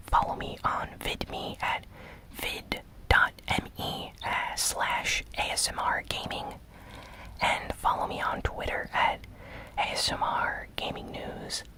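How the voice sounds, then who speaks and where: A host recapping gaming news in a breathy, unvoiced ASMR whisper, soft-spoken and relaxed. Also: poor audio.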